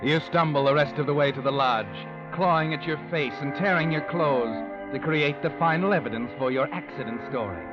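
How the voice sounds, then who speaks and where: A sinister, all-knowing storyteller, performed by a man in 1940s radio noir style. His slightly high voice is ominous and insinuating, set against clear music on a dull old recording. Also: fairly quick, few pauses, close to an everyday voice. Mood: ominous, suspenseful. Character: sinister all-knowing male narrator haunting a guilty man.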